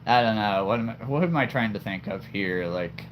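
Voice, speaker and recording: A man's voice: lowish voice, a little fast, a little hiss or hum. A man hosting a livestream in casual chat, laughing often and stammering over repeated words. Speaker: livestream host.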